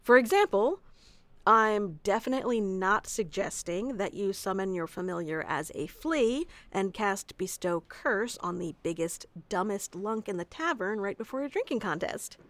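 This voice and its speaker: A woman's voice: mid-range voice, animated, normal speed, a bit bright, no echo, close to an everyday voice. A woman playing a bossy, geeky tsundere wizard teacher who explains things informally and smugly in an ASMR roleplay. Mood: explanatory. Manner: informal, smug, flustered asides, explanatory. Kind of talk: scripted ASMR roleplay audio addressed to the listener.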